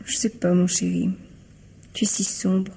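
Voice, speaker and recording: An actress playing an anxious, reproachful wife in a French radio drama, speaking insistently and full of questions. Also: female voice, on the low side, monotone, measured pace, gravelly, warm tone, slight background noise. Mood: worried. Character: anxious, reproachful wife probing her secretive husband.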